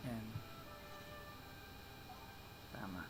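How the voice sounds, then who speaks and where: A man's voice: extremely slow, rough recording. A man with a Filipino accent chatting casually as he streams a game. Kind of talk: casual livestream gameplay chat. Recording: loud game music behind.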